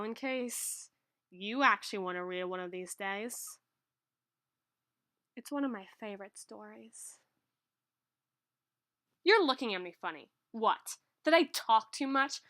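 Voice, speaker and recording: Woman acting a bright-voiced bookish tsundere schoolgirl. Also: mid-range voice, dry recording, studio quality. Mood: defensive, flustered. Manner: scolding, huffy, flustered, softening when bookish. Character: tsundere high school girl tutor, bookish, irritable, secretly fond. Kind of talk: ASMR roleplay, acted monologue to the listener.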